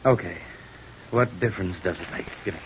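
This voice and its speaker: A man's voice: low-pitched, brisk, gravelly. A man playing a bitter, defeated embezzler, terse and resentful, in a 1950s radio drama. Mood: bitter, resigned. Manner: terse, sullen. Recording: dull, noisy vintage radio recording.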